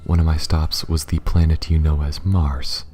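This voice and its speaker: A man playing a calm, reassuring alien spaceship pilot in an ASMR roleplay, speaking softly and haltingly, often near a whisper. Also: a bass voice, a little hiss or hum. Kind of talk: ASMR roleplay addressed to the listener.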